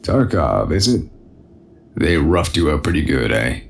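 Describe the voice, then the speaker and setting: A man playing a calm, authoritative military captain in an audio drama, assured, measured and quietly superior. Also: a bass voice, creaky, heavily acted. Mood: smooth, assured. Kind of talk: scripted audio drama acting.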